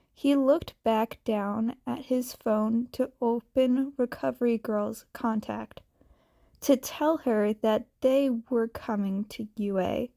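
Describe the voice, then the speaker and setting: A woman's voice: a little high, gravelly, mellow. A woman narrating a scripted story as a fan fiction reader.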